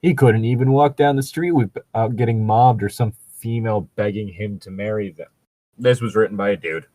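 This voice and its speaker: A man's voice: fairly low pitch, moderate pace, mellow, no echo, poor audio. A man doing casual comedic commentary as a co-host reading fan fiction aloud, sweary, mocking and exasperated. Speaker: podcast co-host.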